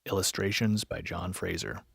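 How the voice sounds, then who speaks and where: A man's voice: low-pitched, fairly even intonation, normal speed, gravelly, a bit bright, a little hiss or hum. A man reading audiobook title and credits as a scripted announcement.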